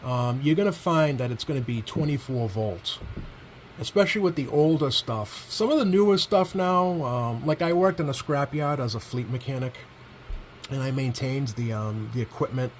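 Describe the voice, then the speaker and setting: A man's voice: mid-range voice, normal speed. Casual man demonstrating gear over background noise. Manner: informal, hesitant, thinking aloud while handling controls. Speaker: product reviewer. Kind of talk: casual hands-on product demo. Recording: clear steady background noise.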